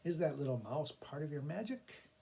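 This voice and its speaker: A man voicing a sly, self-possessed cat in a storybook, speaking smoothly and deliberately. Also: on the high side, lively intonation, mellow, slight background noise.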